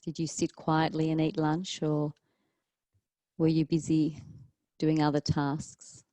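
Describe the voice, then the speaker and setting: A woman teaching yoga leads a guided relaxation, calmly and gently, leaving long pauses between short phrases. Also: low-pitched, no room sound. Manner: calm, soothing, gentle instruction with long pauses. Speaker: yoga teacher leading a class.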